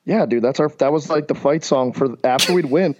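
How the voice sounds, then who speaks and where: Jokey co-host on a dull line. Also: male voice, on the high side, a little fast, a little mellow. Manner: jokey, boastful, says dude and bro. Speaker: podcast co-host, former college player. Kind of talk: casual podcast banter.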